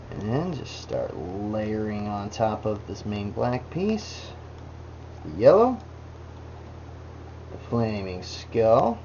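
A man's voice: on the low side, muffled recording, slight background noise. A man casually walking through a model kit build at his workbench, talking to the parts as he goes. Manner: relaxed, talks to the parts as he works. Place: indoor workbench. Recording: music bed under voice. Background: background music.

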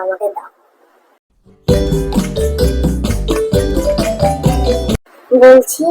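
A woman plays a high-pitched village wife in a cartoon fairy tale. She is caring and excitable, fussing over her husband with an expressive delivery. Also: slight background noise, lightly acted, low-quality recording.

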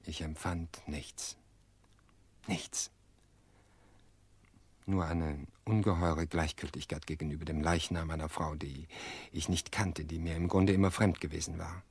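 Radio play: earnest, weary widower, middle-aged. Deep, dry sound, measured delivery. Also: male voice, fairly even intonation, slightly rough, dry recording, heavily acted. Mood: resigned, reflective. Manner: measured, reflective, hesitant pauses. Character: weary, earnest widower confessing to his sister-in-law. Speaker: actor in an audio drama.

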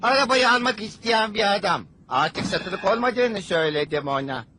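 Man voicing an earnest high-pitched cartoon boy. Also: expressive, moderate pace, sharp tone, a little hiss or hum. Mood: polite, firm. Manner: earnest, polite. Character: young boy, earnest, polite, loyal son. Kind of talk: animated cartoon dub. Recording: music under the dialogue.

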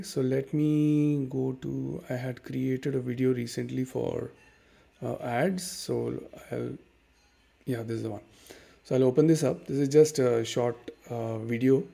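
Gravelly-voiced man with an Indian accent. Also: medium pitch. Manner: relaxed, many fillers and "okay"s, explains step by step. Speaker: tutorial host. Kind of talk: casual screen-recorded software tutorial. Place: dim room at home.

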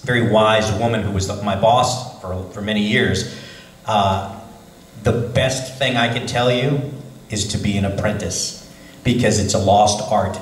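A man speaking in a chatty, animated way at a convention panel. His voice sits on the low side and is picked up from a distance. The hall adds heavy echo and faint background noise. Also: unhurried. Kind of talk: casual panel talk. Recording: echoey hall, voice from far.

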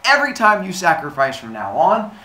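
A man's voice: fairly high pitch, wildly swooping pitch, a little fast, bright, forceful tone, pitched above the performer's own voice, heavily acted. A man voicing a pompous, bossy, hot-tempered male god in a blustering, dismissive way for comic myth storytelling. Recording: echoey room with background hiss.

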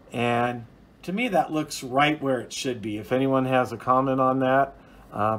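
A man giving an informal, hands-on explanation as a hobbyist video host, plain-spoken and methodical, recorded on a phone at home. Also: medium pitch. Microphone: phone, off camera.

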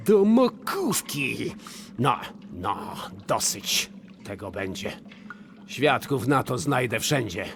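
An actor playing a scheming, miserly old notary in a verse radio play, wheedling and falsely sweet. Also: male voice, medium pitch, creaky, slight background noise, exaggerated voice.

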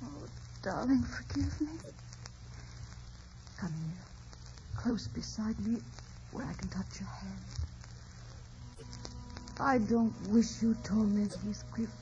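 A woman's voice: mid-range voice, slow pace, long pauses, dark tone, slight background noise. An actress playing a tender, sorrowful woman in a radio melodrama, earnest and theatrical. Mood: yearning. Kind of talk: 1950s radio drama acting. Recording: dull old radio broadcast, music behind.

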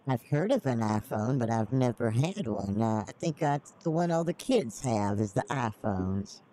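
A man's voice: mid-range voice, expressive, normal speed, mellow, rough recording. A middle-aged man on a live-streamed scam call, folksy and feigning ignorance as a naive mark. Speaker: scambaiter playing a naive mark.

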